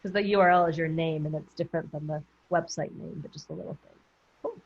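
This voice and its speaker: Husky-voiced woman mentor on a call. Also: sits low, fairly even intonation, quick pace. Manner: chatty, encouraging, thinks aloud with self-corrections. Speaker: business mentor running a group coaching call. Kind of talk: live coaching feedback on a video call. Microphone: video call. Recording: dull, muffled video call audio.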